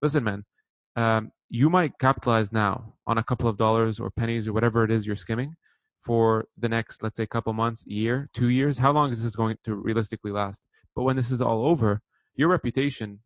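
Podcast host, male, born in Lebanon. Lowish voice, dry close sound, informal and chummy interview chat. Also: a little fast, no echo. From born in Lebanon, grew up partly in Saudi Arabia. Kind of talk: casual conversational interview.

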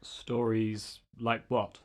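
A man's voice: lowish voice, completely flat delivery, extremely slow. An actor playing a determined, questioning young man in an audio play, plain and direct. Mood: wary, impatient.

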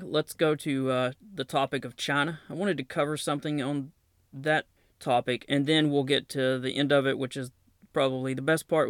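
A man's voice: medium pitch, smooth, no echo, studio quality. A man with a Southern accent hosting a podcast, talking casually through defense news and reading press releases aloud with plain asides. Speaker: podcast host.

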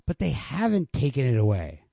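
A man's voice: mid-range voice, huge pitch swings, normal speed, gravelly, warm tone, no room sound, voice pushed up, exaggerated voice. A man playing an insistent, anxious young man who emphatically cajoles and placates his girlfriend in a story reading. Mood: urgent reassurance.

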